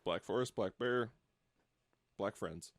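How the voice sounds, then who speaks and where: A man's voice: fairly low pitch, slow pace, dry recording. A man giving casual, wry joking commentary as a co-host. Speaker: comedy co-host.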